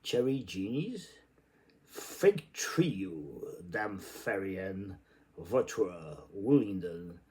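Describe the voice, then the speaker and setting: A man's voice: sits low, big pitch swings, very slow, darkish tone. A man reading literary prose aloud in a theatrical, playful and exclamatory way. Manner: playful, exclamatory delivery with sudden outbursts. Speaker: reader.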